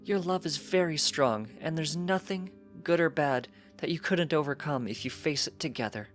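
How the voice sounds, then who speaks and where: A narrator voicing a gentle, gracious grown pony princess with formal, encouraging, measured speech in a storybook reading. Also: medium pitch, faint music behind, voice pushed up, lightly acted.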